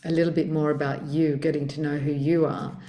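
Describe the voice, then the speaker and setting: A woman's voice: low voice, little pitch movement, fairly quick. A woman hosting an interview, asking questions in a hesitant, conversational way. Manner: hesitant, soft backchannel murmurs. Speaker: interview host. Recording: echoey room.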